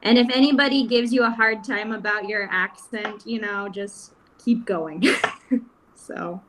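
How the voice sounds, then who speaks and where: An American woman chats easily over a webcam mic, her voice sitting at an ordinary middle pitch. She talks in a relaxed, rambling way and leans on "you know" again and again. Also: a little slow, slight background noise, low-quality recording. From United States. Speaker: guest speaker. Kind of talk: casual video-call chat.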